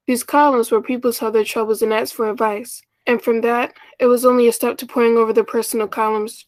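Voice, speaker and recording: A woman's voice: a little high, no echo, lightly acted. A woman playing a shy, lonely, self-effacing older sister in a 1940s-style radio play, speaking wistfully and hesitantly. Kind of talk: 1940s radio play acting, first-person narration.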